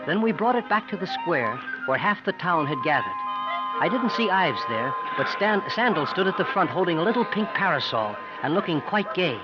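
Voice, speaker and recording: A man's voice: on the high side, close to an everyday voice. A male actor as an earnest boy, muffled radio. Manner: plain-spoken, earnest. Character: earnest, worried boy nephew narrating his uncle's feats. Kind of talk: 1950s radio drama acting and narration. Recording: muffled vintage radio recording with music.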